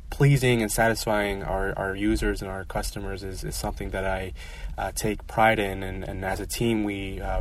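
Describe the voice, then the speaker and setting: A man with a fairly low voice hosts a podcast. He speaks in an earnest, conversational way. The microphone sounds slightly distant from him. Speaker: podcast host. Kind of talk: casual spoken promo introduction.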